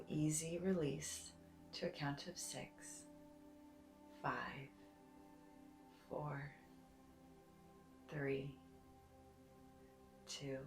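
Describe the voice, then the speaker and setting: A woman leading a guided meditation in a soft, soothing, deliberate way, sometimes breathy, with long pauses. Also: sits low, reverberant. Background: soft music.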